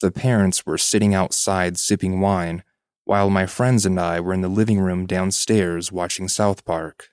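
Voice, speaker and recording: A man narrates a first-person story for a podcast in a low-pitched voice. The sound is dry and close, with very little room around it. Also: fairly even intonation, normal speed, darkish tone, clear, resonant tone, dry recording.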